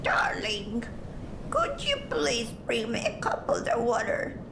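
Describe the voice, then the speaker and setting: A woman's voice: wildly swooping pitch, takes it slowly, gravelly, exaggerated voice. A woman playing an eerie old grandmother with a whispery voice in a horror cartoon. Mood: frail, pleading. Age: older. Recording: echoey, noisy, rumbling.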